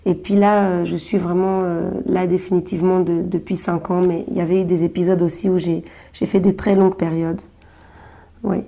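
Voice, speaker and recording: A woman's voice: lowish voice, level tone, normal speed, mellow. A woman being interviewed as a journalist and writer, answering in a hesitant way with many fillers and restarts. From Belgium, Brussels-born Congolese.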